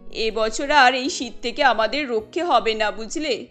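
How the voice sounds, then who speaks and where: A woman's voice: a little high, unhurried, fairly bright tone, faint music behind. A woman voicing a poor village wife and mother in a cartoon, speaking in an anxious, fretting way. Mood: fretful.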